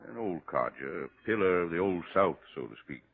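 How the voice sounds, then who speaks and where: Deep folksy Southern lieutenant. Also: male voice, lively intonation, brisk. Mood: easygoing, laconic. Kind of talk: 1950s radio drama acting. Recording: muffled, noisy old radio recording.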